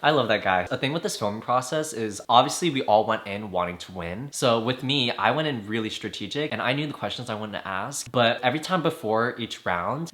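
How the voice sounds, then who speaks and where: Bright-voiced chatty man. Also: medium pitch. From United States. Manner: chatty and animated, often breaking into laughs. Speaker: YouTuber and musician reacting to a clip of himself. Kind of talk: casual reaction commentary.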